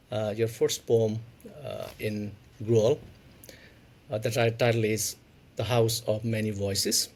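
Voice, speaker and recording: A man hosting a podcast, asking a writer questions in an engaged way, often pausing on "uh" fillers. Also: medium pitch. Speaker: podcast host. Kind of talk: interview questions, reading a poem aloud.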